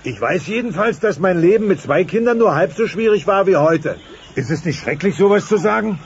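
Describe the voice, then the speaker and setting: An actor playing an excitable, cowardly dinosaur dad, whiny, sarcastic and melodramatic, in a comic children's audio drama. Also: male voice, high voice, fairly quick, slight background noise. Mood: anxious, wailing. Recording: dull cassette sound, music behind.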